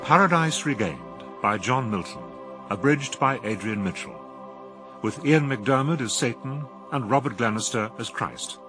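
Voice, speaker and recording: A man's voice: mid-range voice, lively intonation, unhurried, over music. A British man reading a scripted, formal broadcast announcement as a radio announcer.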